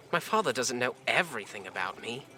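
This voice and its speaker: A man acting a bright, breezy young lordling. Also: mid-range voice, sing-song, normal speed, faint music behind. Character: breezy, privileged young lord's son, friendly with servants. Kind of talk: audio drama acting.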